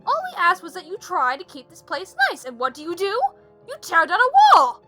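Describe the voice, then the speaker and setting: A woman's voice: very high voice, very animated, a little fast, bright, forceful tone, soft background music, pitched above the performer's own voice, full character voice. A woman voicing an exhausted, exasperated motherly female pony who scolds sternly and emphatically. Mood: scolding.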